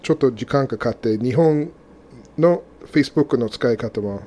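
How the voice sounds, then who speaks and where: Halting man, mellow voice. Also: medium pitch, a little hiss or hum. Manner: halting non-native speech with frequent restarts and laughs. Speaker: co-host. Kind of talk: casual radio chat.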